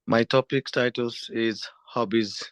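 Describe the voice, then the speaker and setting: A man from Nepal answering speaking test questions, hesitant with many pauses and restarts. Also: medium pitch, fairly even intonation, clean tone, no echo. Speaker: test candidate. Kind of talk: mock speaking exam answers.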